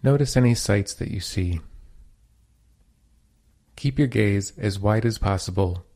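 Low-voiced man guiding a meditation. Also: unhurried, gravelly, dark tone. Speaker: meditation teacher, host. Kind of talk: guided meditation, calm instruction.

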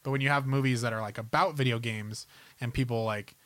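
A man's voice: mid-range voice, fairly even intonation, fairly quick, a bit bright, no echo. A man co-hosting a podcast in casual, enthusiastic, rambling conversation, peppering his talk with "like.". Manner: enthusiastic, rambling, heavy use of "like". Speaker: podcast co-host. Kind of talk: casual chat about movies and games.

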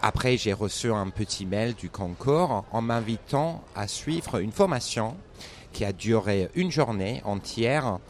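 A man with a slightly low voice and a British accent speaks in an interview outdoors at a fair. He hesitates often and restarts his phrases, and there is faint ambient noise behind him. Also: expressive, measured pace. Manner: hesitant, many restarts and fillers. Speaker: wine competition judge.

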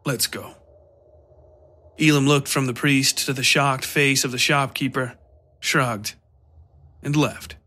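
A man narrating scripted dark fiction for a podcast. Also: mid-range voice, fairly even intonation, a little slow.

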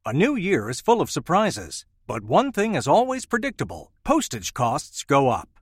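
American ad read guy, kinda high voice, animated, totally dry recording. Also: moderate pace, slightly rough, dry recording. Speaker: advertisement announcer. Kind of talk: scripted modern podcast advertisement.

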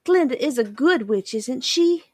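A woman's voice: high voice, animated, unhurried, a little mellow, husky, no room sound, clean studio sound, pitched above the performer's own voice, full character voice. A woman voicing an earnest, sweet and polite young girl in a storybook reading. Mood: earnest questioning. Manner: eager, polite, exclamatory.

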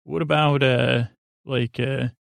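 A man's voice: medium pitch, steady tone, slow, vocal fry, dry recording, studio quality. A man hosting a bedtime podcast, rambling and meandering, stammering and losing his train of thought as he talks. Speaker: podcast host.

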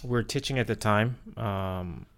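A man with a Korean accent and native Korean, telling personal stories casually in a halting, reflective way, searching for words. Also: fairly low pitch, a bit bright. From South Korea. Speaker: podcast host.

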